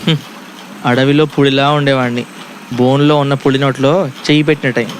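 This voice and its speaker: A man's voice: mid-range voice, flat delivery, fairly quick, slight background noise, natural voice. A man playing an anxious, lovestruck young college student in a comedy audio drama, bantering in exasperated slang. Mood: exasperated, defensive. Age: young adult.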